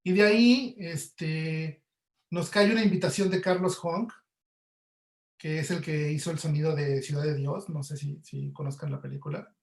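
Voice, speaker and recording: A man's voice: on the high side, fairly even intonation, moderate pace, creaky. A man with a Mexican accent, giving conversational answers as a guest audio producer in an online webinar. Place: indoor room, online webinar call. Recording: roomy, echoey sound.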